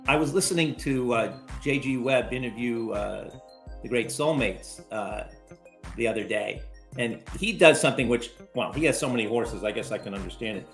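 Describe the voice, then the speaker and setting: A man as the guest in a casual podcast chat, explaining his reasoning in a measured way, restarting often and checking in with "right?". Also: mid-range voice, gravelly, soft background music.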